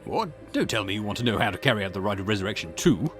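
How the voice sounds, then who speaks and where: A man's voice: lowish voice, expressive, fast, soft background music, close to an everyday voice. A man voicing a conceited, irritable historian and occult researcher in a visual novel, pompous, snappish and condescending. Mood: indignant, snappish. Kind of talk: acted visual novel dialogue.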